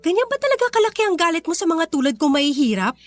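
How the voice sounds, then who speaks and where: A woman's voice: very high-pitched, big pitch swings, fast talker, faint music behind. A woman acting a poor but proud young working woman in a radio drama, speaking with earnest, wounded dignity. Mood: hurt, pleading.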